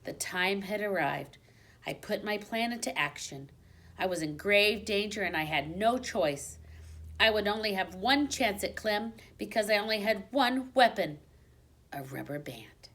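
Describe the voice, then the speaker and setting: A woman reading a children's storybook aloud in an expressive, dramatic manner, dropping to a hush for suspense. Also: medium pitch, a little slow. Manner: dramatic, with hushed suspenseful drops.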